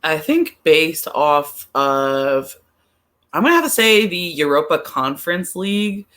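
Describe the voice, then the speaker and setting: A woman's voice: deep voice, very animated, a little slow, a bit bright. A woman in a casual sports chat as a podcast guest, giving her opinions informally and hesitantly, often repeating her phrases. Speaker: podcast guest, soccer pundit.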